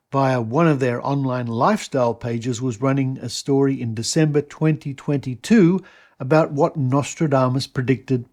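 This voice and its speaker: A man hosting a podcast, reading scripted narration in a measured, emphatic way. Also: medium pitch, darkish tone. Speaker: podcast host. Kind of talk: scripted documentary-style narration.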